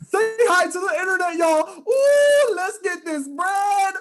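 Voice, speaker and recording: Shrill, melodramatic influencer. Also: female voice, big pitch swings, takes it slowly, raised voice, heavily acted. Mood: shrieking excitement. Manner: shrieking, whiny theatrics. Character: melodramatic, excitable live-streaming influencer. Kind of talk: comedic audio drama acting.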